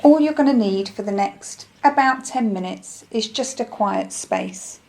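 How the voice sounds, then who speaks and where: A woman leading a guided meditation in a gentle, soothing, unhurried manner, with phrases that trail off softly. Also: medium pitch. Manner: soothing, gentle, unhurried instruction with soft breathy phrase endings.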